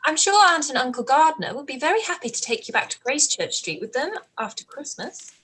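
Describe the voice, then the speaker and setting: A woman playing an earnest, opinionated young gentlewoman in a period radio play, speaking in a measured, articulate way, on a home recording. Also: high-pitched, big pitch swings.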